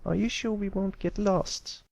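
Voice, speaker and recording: A man's voice: high-pitched, fairly even intonation, measured pace, creaky, darkish tone, no echo, voice pushed up. A man voicing a shy but eager little boy pony, innocent and boyish. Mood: unsure. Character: shy, eager little colt, younger brother.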